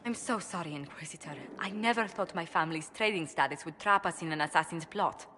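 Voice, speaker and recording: A woman's voice: lowish voice, fairly quick, pushed and bright. Earnest noblewoman diplomat, formal and courteous, game cutscene, audible background noise. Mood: apologetic, resolved. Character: polite, earnest noblewoman diplomat, anxious but principled. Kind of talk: video game cutscene acting.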